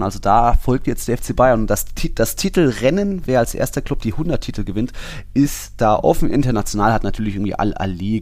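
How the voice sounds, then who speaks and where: A man's voice: on the low side, darkish tone, no room sound. A man hosting a football podcast, explaining things in an informal, conversational way. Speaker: podcast host. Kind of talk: casual football podcast talk.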